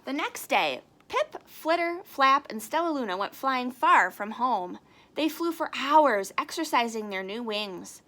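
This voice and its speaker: A woman's voice: high-pitched, animated, measured pace, sharp tone. A woman reading a children's storybook aloud expressively, with casual asides. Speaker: storybook reader.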